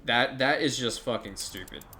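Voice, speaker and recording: A man's voice: mid-range voice, normal speed, sharp tone, faint music behind. A man giving casual, rambling commentary while playing a strategy game, thinking aloud and swearing now and then.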